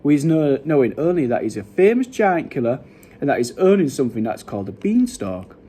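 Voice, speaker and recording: Man as a husky, confiding storybook giant. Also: mid-range voice, big pitch swings, a little fast, mellow. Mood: confiding, earnest. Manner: quaint broken grammar, confiding. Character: kindly male giant, odd muddled words, cautious explainer.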